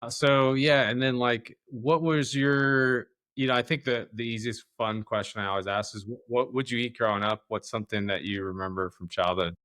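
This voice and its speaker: A man's voice: mid-range voice, steady tone, moderate pace, few pauses, fairly bright tone, no echo. A man hosting a podcast, chatting informally and putting loosely phrased questions to a guest. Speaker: podcast host. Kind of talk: casual chat, interviewing.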